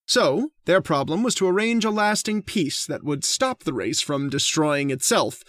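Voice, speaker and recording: An actor playing a mild, hesitant gentleman who hunts people for sport, in a radio drama. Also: on the high side, animated, moderate pace. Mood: reciting, distracted. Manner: polite, hesitant.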